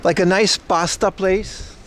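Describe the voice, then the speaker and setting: A man's voice: high voice, steady tone, slow, fairly bright tone. A man chatting casually on a walking livestream, reading chat aloud and joking with mock outrage. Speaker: IRL streamer. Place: outdoors on city streets.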